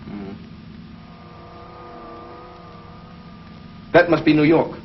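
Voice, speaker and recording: A man acting an animated, professorial space-age doctor. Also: a little high, lightly acted. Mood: grave, businesslike. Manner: explanatory, deliberate, professorial. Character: learned male doctor-scientist from the future, earnest mentor. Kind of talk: 1950s television science fiction acting. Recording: noisy, dull 1950s television soundtrack.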